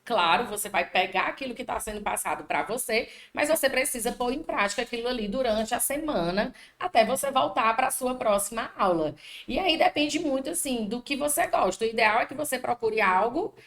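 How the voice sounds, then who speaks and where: A Brazilian woman with a Piauí accent answers interview questions as a language teacher, talking freely and tagging her sentences with "né?". Her voice sits a little high, and her pitch jumps up when she stresses a point. The room around her is echoey. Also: fairly quick. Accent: Piauí Brazilian Portuguese. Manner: talkative, frequent "né?" tags, animated pitch jumps.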